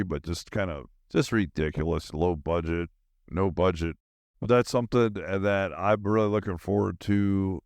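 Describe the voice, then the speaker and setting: A man's voice: low-pitched, gravelly, no echo, clean studio sound. A middle-aged man hosting a podcast, talking in a loose, meandering, informal and slangy way about his own life. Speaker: podcast host. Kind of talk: rambling casual personal monologue.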